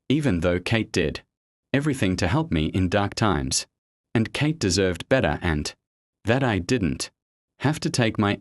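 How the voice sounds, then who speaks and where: A man reading out a first-person online story as a steady narrator, spelling out abbreviations letter by letter. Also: on the low side, no room sound.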